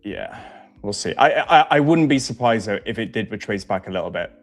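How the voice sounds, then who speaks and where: A man hosting a live trading stream, from Wales but without a Welsh accent, explaining probabilities in relaxed, casual chat. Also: lowish voice, fairly quick, faint music behind. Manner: explains stats plainly, relaxed banter.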